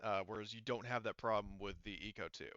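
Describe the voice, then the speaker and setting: A man with a forceful, clear voice talks players through a tabletop roleplaying session as game master. He explains in a casual, sweary way. The sound is very dry and slightly dull, as from a close mic. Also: lowish voice, steady tone, a little fast, no room sound.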